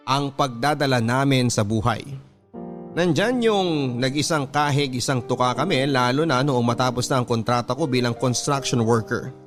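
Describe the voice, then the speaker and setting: Actor as a confessional husband over music. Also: male voice, mid-range voice, close to an everyday voice. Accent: Filipino. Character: regretful husband narrating his troubled past. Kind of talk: scripted first-person narration.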